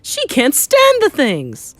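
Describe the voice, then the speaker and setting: Pompous middle-aged English dad, played by a woman narrator, indignant and spluttering, loud swooping delivery for a storybook. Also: sits high, wildly swooping pitch, slow pace, no echo, pitched above the performer's own voice, heavily acted. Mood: shrieking dismay. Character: blustering outraged English father, pompous middle-aged man.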